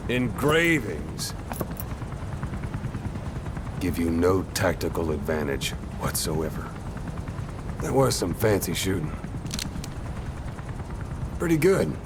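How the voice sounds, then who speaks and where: A man acting a cool, demanding veteran gunslinger and drill instructor in a video game, commanding and theatrical with drawn-out emphasis. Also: deep voice, very animated, heavily acted. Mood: cool approval. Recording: clear outdoor noise behind the voice.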